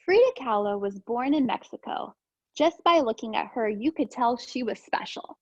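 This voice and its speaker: A woman teacher reading aloud to young children in an expressive, sing-song, encouraging way. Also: a little high, moderate pace, no room sound, lo-fi sound. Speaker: preschool teacher reading storytime.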